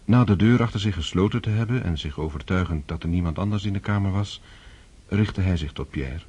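Deep, husky male radio drama narrator. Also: a little fast, a little mellow. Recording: dull lo-fi radio recording, music behind. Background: music.

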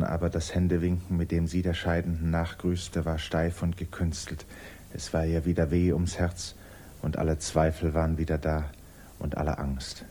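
An actor narrating a literary 1950s German radio drama as a sober, observant storyteller. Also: male voice, low-pitched, mellow, slight background noise, natural voice.

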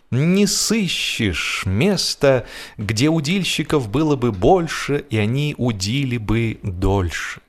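Man, audiobook narrator, mid-pitched voice, wry and expressive, humorous storytelling, music in the background. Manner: expressive, wryly ironic storytelling.

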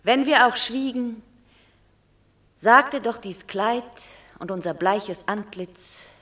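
An actress playing a noble Roman mother in a classical verse radio drama, grave, formal and imploring but unyielding. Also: medium pitch. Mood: sorrowful reproach.

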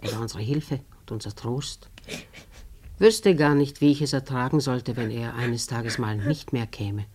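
An actress playing an older bereaved mother in a German radio play, speaking gently and affectionately. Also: extremely low pitch, measured pace, slightly rough, full character voice. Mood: tender, persuading.

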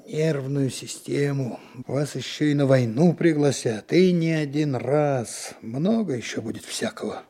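An actor playing a gruff, kindly senior detective mentoring young trainees in a Soviet radio drama, speaking in a folksy, unhurried way. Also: male voice, fairly high pitch, mellow. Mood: earnest.

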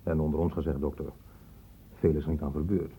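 An actor playing a cynical police inspector in a radio drama, blunt, sardonic and colloquial. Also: male voice, extremely low pitch, flat delivery, fairly quick, mellow, breathy edge, full character voice. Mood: blunt, stern. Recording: muffled phone-like old radio recording.

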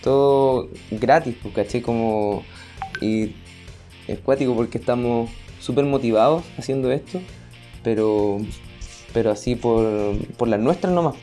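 A Chilean man chats casually in a mellow, medium-pitched voice. He is colloquial and often pauses to find his words, with faint music in the background. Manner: hesitant, searching for words, colloquial. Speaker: guest, podcast creator. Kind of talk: casual conversation.

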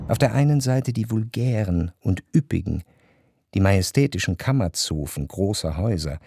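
An actor playing an introspective, yearning gentleman who narrates a literary radio drama in a measured, reflective way. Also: fairly low pitch, vocal fry, background noise. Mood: musing.

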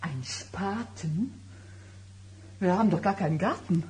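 An actress playing an agitated, suspicious and sarcastic pregnant wife of thirty-nine in a German radio drama, with a biting, questioning delivery. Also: female voice, on the low side, unhurried, mellow, a little hiss or hum, lightly acted. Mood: puzzled. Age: middle-aged.

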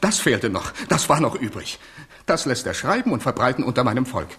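A man's voice: medium pitch, big pitch swings, brisk, a bit bright. An actor in a German radio play playing a capricious, wounded French king, swinging from theatrical outbursts to plaintive murmurs. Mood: indignant, wounded.

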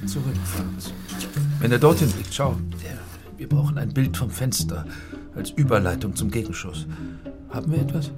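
A man's voice: mid-range voice, expressive, moderate pace, loud music behind the voice, lightly acted. An actor in a German radio drama playing a composed, courteous film director, polite and placating, who instructs with devotion to his craft. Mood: focused.

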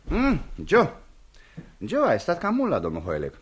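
A man's voice: on the high side, huge pitch swings, slight background noise. An actor in a radio play voicing a nosy, suspicious male colleague with a teasing, prying manner. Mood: needling, suspicious. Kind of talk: Romanian radio theatre acting.

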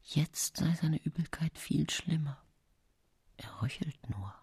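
An actress playing a guilt-haunted murderous widow in a radio drama, confessing in a breathy near-whisper. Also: female voice, sits low, expressive, slow, a little mellow, dry recording, full character voice. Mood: hushed, uneasy. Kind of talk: intimate radio drama monologue.

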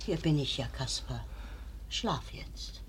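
A woman's voice: very deep voice, expressive, slow pace, a little hiss or hum, exaggerated voice, poor audio. An actress in a radio play playing a concerned, motherly housewife who speaks earnestly and plainly. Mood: soothing. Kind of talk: German radio play acting.

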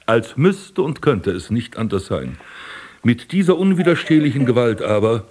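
A man's voice: medium pitch, very animated, moderate pace, a little mellow. An actor playing a proud, possessive aging prince and father figure, solemn and declamatory in a poetic radio drama. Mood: wistful, possessive. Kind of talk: literary German radio drama acting.

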